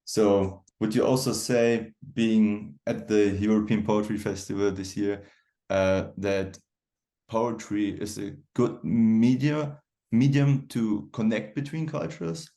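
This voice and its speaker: Male interviewer, low dark voice with gravelly fry, loose chatty questions. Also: fairly even intonation, slow pace.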